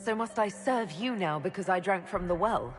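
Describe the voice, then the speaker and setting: Actress as earnest elven heroine over music. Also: female voice, medium pitch, normal speed, close to an everyday voice. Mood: questioning. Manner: direct, earnest questioning. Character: earnest, questioning female elven mage heroine. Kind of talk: dramatic video game voice acting. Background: background music.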